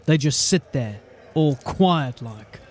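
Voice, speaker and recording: An actor playing a blunt, world-weary watch sergeant in an audio drama, plain-spoken and grim. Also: male voice, medium pitch, animated, takes it slowly, a little mellow. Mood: steady, grim.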